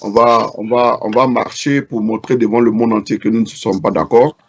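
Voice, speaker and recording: Halting man on a muffled line. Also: mid-range voice, fairly even intonation, slightly rough. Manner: halting, repeats words. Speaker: protest organizer. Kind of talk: adding remarks in an interview.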